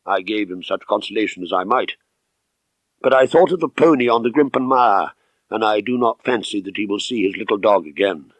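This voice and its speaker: Measured male audiobook narrator, close and dry. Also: on the low side, dry recording, low-quality recording. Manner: measured, expressive, dramatic. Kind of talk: scripted first-person literary narration.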